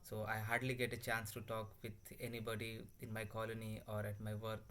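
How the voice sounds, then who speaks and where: A man's voice: lowish voice, completely flat delivery, a little fast, bright, forceful tone. A young man from Bihar in India, a native Hindi or Bhojpuri speaker, talking unscripted to his laptop with many fillers and restarts. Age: young adult. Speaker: language learner vlogger. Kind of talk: unscripted casual talk to camera. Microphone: laptop microphone. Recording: faint background ambience on a laptop mic.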